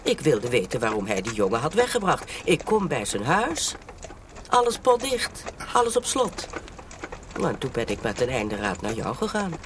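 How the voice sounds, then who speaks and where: A woman's voice: rumbling low, very animated, normal speed, noisy background. A woman playing a prim, self-righteous elderly mother in a radio drama, emphatic and repeating herself for effect. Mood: exasperated. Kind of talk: Dutch radio drama acting.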